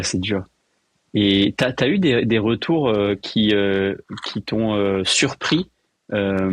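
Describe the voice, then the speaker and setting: Halting low-voiced male host. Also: no echo. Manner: halting questions full of "euh". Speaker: podcast host. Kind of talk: casual conversation.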